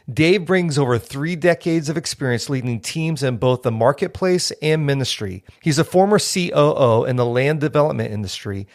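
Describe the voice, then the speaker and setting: A man's voice: medium pitch, no echo, studio quality. A man hosting a leadership podcast, reading a polished scripted intro and then asking a friend questions in a friendly way. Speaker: podcast host. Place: office.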